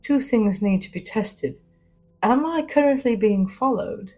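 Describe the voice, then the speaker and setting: Flirtatious socialite spy woman acted by a woman reader, husky, arch, commanding, sci-fi audio story, music behind. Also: fairly high pitch, animated, unhurried, darkish tone, pitched above the performer's own voice. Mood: sly. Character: flirtatious, imperious socialite woman spy, sly and self-assured. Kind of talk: first-person sci-fi spy audio fiction.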